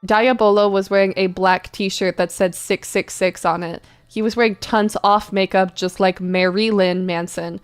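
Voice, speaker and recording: Clear-voiced woman reading fan fiction theatrically. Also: medium pitch, steady tone, faint music behind. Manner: exaggerated, theatrical delivery that keeps deliberate misspellings. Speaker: streamer doing dramatic readings. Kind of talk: dramatic reading of bad fan fiction, with asides.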